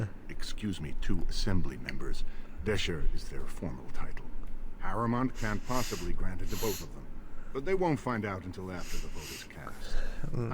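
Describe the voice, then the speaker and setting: Casting: scheming dwarven prince's right hand, very low voice, formal and guarded, game audio with clear background noise. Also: male voice. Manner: formal, measured, conspiratorial. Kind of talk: fantasy video game dialogue.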